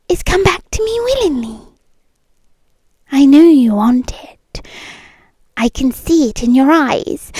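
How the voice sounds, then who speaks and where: A British woman playing a possessive, obsessive ex-girlfriend in an intimate ASMR roleplay, speaking softly and coaxingly close to the microphone and often slipping into whispers. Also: sits high, slightly rough, no room sound, heavily acted. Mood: tender pleading. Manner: soft, coaxing, slow and deliberate, slipping into whispers. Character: possessive, obsessive yandere ex-girlfriend, tender yet menacing.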